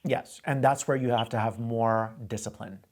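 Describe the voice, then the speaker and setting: A man's voice: mid-range voice, fairly even intonation, moderate pace. A man hosting a call-in advice show, coaching a caller in a direct way and often repeating himself. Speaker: host giving advice.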